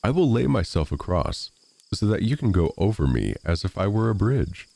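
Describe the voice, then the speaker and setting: A man's voice: deep voice, sing-song, moderate pace, creaky, dark tone, exaggerated voice. A man voicing a friendly talking straw in a storybook, speaking in a formal, old-fashioned way. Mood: eager. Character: talking straw, friendly, lucky survivor with ideas.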